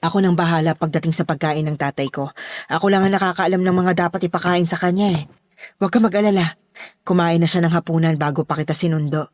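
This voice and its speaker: Actress as brisk Filipino lady of the house, dry sound. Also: on the low side, fairly even intonation, a little mellow, dry recording, close to an everyday voice. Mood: matter-of-fact. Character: bossy, protective daughter, mistress of the house. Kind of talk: Filipino horror audio drama acting.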